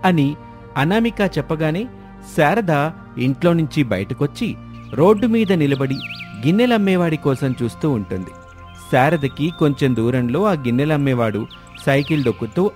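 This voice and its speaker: A man's voice: a little high, lively intonation, moderate pace, darkish tone. A man reading a scripted story as its narrator, with music behind him.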